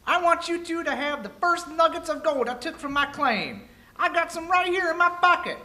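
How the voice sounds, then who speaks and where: A man playing an excitable, crusty old prospector with folksy Old West talk in an amateur radio play. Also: extremely high pitch, moderate pace, full character voice. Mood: generous, eager.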